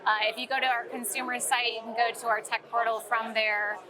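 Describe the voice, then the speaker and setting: A woman's voice: a little high, level tone, fairly quick. A woman giving an informal, conversational product explanation as an interview guest. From St. Louis, United States. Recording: crowd chatter at busy event. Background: crowd at a sales meeting.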